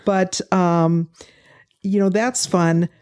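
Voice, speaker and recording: A woman's voice: on the low side, steady tone, extremely slow, darkish tone, clear, resonant tone. An older woman chatting as an interview guest, telling stories and acting out what people said to her. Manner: storytelling, acts out quoted people. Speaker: guest, pie sale volunteer. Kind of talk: casual interview chat.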